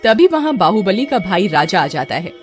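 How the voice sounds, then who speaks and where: A woman tells a scripted dramatic tale. Her voice moves animatedly up and down in pitch. Soft music plays underneath. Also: big pitch swings, normal speed. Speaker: story narrator. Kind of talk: scripted dramatic storytelling narration. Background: background music.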